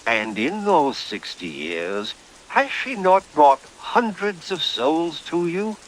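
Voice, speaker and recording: A man voicing a suave, scheming gentleman barrister in a horror radio play, eloquent, formal and theatrical. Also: a little high, very animated, slow pace, rough recording. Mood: persuasive. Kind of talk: old-time horror radio play acting.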